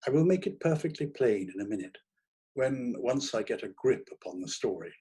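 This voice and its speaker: A man reading an audiobook in the role of a troubled, rambling young dramatic critic, theatrical and hesitant, swinging from hushed to emphatic. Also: mid-range voice, animated, moderate pace, breathy edge. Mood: distressed, confused.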